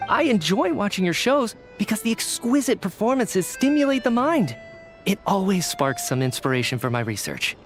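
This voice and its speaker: A man's voice: high voice, big pitch swings, normal speed. A man voicing an earnest, flustered researcher in a video game, hesitant and prone to stammering. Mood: apologetic, candid. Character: flustered, earnest male researcher, an apologetic theater fan. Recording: music under the voice.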